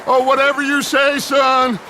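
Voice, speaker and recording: A man's voice: very high-pitched, monotone, unhurried, bright, forceful tone, slight background noise, full character voice. A man acting a commanding, middle-aged American outlaw gang leader in a western game, theatrical and grandiloquent, given to speech-making. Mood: outraged. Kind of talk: dramatic video game acting.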